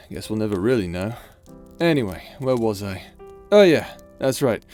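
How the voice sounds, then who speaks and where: A man playing a tender, weary space pirate in an intimate ASMR roleplay, speaking softly and hesitantly over music. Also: on the low side, very animated, measured pace. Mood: wistful, playful. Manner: soft, hesitant, confiding.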